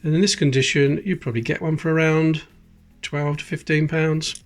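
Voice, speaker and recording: A British man with a fairly high voice chats casually as he sorts coins at a table, calling out dates one after another. Faint music plays behind him. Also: steady tone, normal speed. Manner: chatty, reads out dates as he goes. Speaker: coin collecting channel host. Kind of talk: casual commentary while sorting coins. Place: indoors at a table. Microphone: close camera, hands-only framing.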